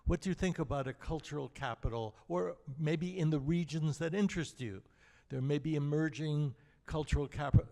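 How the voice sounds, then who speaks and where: A man's voice: fairly high pitch, fairly quick, creaky, warm tone. A man moderating a panel discussion, hesitant with many fillers, in a conference hall. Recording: echoey hall.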